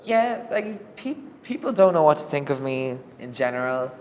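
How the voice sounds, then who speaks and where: A young woman with an Irish accent and a deep voice, speaking hesitantly with fillers and restarts in a documentary interview. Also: sing-song, moderate pace, slight background noise. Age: young adult. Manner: hesitant, repeats words with fillers. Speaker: student interviewee. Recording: reverberant room with soft music underneath.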